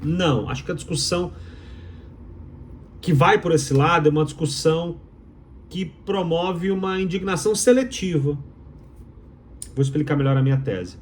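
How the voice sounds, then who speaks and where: A man's voice: fairly high pitch, normal speed, long pauses, slight background noise. A man from Minas Gerais with a Mineiro accent, a doctoral student giving an opinionated explainer to viewers, emphatic and rhetorical, repeating his key phrases. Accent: Mineiro Brazilian Portuguese. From Minas Gerais, Brazil.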